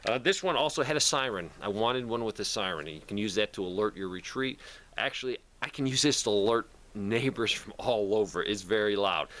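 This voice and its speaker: A man's voice: on the low side, brisk, fairly bright tone. A man talking casually to camera in a plainspoken, rambling way, like a video host showing his gear. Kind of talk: casual product talk to camera.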